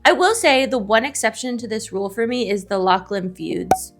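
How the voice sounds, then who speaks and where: Woman, casual co-host chat, lots of vocal fry, slightly high voice, candid, slangy, playfully dramatic. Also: lively intonation, normal speed, soft background music. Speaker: co-host of a book discussion video. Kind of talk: casual chat, trading confessed book opinions.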